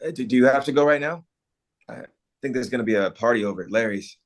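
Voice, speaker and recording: A man acting a bashful, sincere small-town carpenter in a naturalistic table read, with a halting, hesitant delivery. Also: medium pitch, natural voice. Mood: tender, eager.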